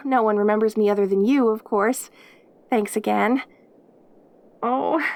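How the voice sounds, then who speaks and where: A woman voicing a perky, lonely caged demon girl in an intimate audio roleplay, with an eager, rambling, stammering manner. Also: high voice. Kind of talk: audio roleplay acting, addressing the listener intimately.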